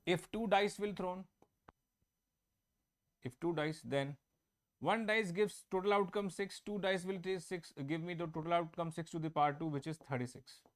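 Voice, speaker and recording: A man's voice: high voice, moderate pace. A man teaching an online math class. He explains each step, repeats himself and often checks that students follow. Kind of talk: online exam-prep class. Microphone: own microphone, online class.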